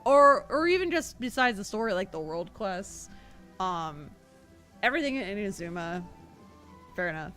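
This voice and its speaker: A woman streaming a game, chatting casually with her viewers in a rambling, expressive way. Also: mid-range voice, normal speed, long pauses, soft background music.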